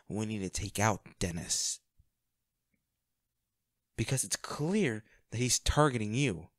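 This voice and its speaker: A man playing a devoted, protective bodyguard with a dark past in an intimate audio roleplay, speaking earnestly and gravely. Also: fairly low pitch, no room sound, clean studio sound.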